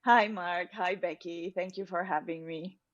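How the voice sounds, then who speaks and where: Lower-pitched Romanian-accented woman, halting, slightly dull audio. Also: fairly even intonation, dry recording. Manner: hesitant, halting delivery with frequent pauses. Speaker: podcast guest, personal branding specialist. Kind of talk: interview answer, recounting personal story.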